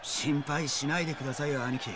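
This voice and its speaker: An actor playing a cocky, hot-headed young yakuza underling in a game, brash, informal and defiant. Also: male voice, a little high, steady tone, a little fast, lightly acted. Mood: confident. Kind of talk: video game cutscene acting. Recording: noisy crowd in the background.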